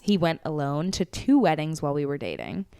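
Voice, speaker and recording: A woman's voice: low-pitched, big pitch swings, mellow, clean tone, no echo, clean studio sound. A woman in her twenties co-hosting a podcast, telling candid, profane dating stories in casual chat. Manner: candid storyteller, swears freely, quick laughs. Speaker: podcast co-host.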